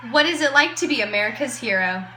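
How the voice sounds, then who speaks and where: High-voiced brash 1920s radio gal played by a woman. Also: expressive, quick pace, sharp tone, slight background noise. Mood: excited. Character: slangy, brash female 1920s radio reporter and ad pitchwoman. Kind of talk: scripted amateur 1920s radio acting. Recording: roomy echo, music behind.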